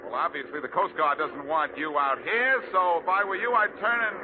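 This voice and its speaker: A man's voice: fairly high pitch, a little fast, heavy background noise, close to an everyday voice. An actor playing a cool, wisecracking male detective with a dry, teasing manner in 1940s radio drama style, on a tinny old recording.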